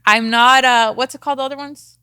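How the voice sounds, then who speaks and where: Bright-voiced Mexican-accented woman. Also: sits high, a little fast, clean tone, dry recording, clean studio sound. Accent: Mexican Spanish. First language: Spanish. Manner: energetic, candid, with frequent fillers and restarts. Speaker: real estate agent, guest. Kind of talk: casual interview talk.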